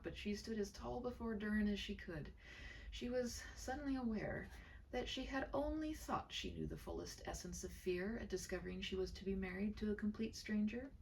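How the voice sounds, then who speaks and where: A woman reading a story aloud in an expressive, dramatic way, tender and hushed, with pauses for effect. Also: fairly low pitch, gravelly, far from the mic, a little hiss or hum, low-quality recording. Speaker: storyteller reading her own short story.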